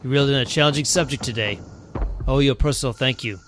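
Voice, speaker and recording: A man's voice: mid-range voice, quick pace, a bit bright, a little hiss or hum, natural voice. A man acting as a middle-aged police chief and father in an audio drama. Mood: wry, grateful.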